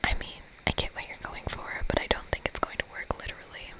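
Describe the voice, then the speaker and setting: Someone speaking in a breathy, unvoiced whisper, reading a game aloud as an ASMR host. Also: quick pace.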